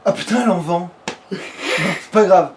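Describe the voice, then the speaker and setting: Male gamer, high voice, crude slangy chat, noisy recording. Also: moderate pace. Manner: crude, slangy, swearing freely. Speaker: let's play gamer. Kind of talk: casual gaming commentary. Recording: clearly noisy home recording.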